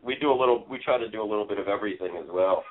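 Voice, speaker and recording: A man's voice: fairly low pitch, racing. A male band member as a guest in a casual interview, speaking earnestly and rambling with many fillers. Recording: tinny phone-line sound.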